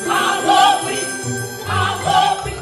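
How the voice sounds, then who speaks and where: An actress playing a lively fairy-tale bird, singing a folk song in a theatrical 1940s radio play. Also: female voice, very high voice, slow pace, full character voice. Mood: playful, festive. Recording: 1940s radio recording with music.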